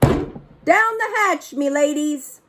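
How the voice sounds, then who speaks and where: A woman voicing a loud, bossy, blustery male pirate captain in a storybook, hollering hearty pirate talk. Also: high-pitched, animated, very slow, noisy background, pitched above the performer's own voice, full character voice. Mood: roaring, bossy. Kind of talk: storybook read-aloud.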